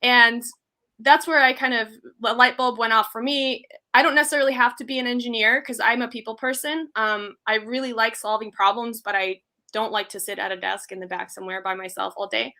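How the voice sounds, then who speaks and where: A woman in her thirties who grew up in Iceland, talking casually as a guest on an interview show. Her voice sits a little high and rings bright and forward, and she keeps filling her sentences with ums and you-knows. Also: fairly even intonation, fairly quick. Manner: chatty, many fillers like um and you know.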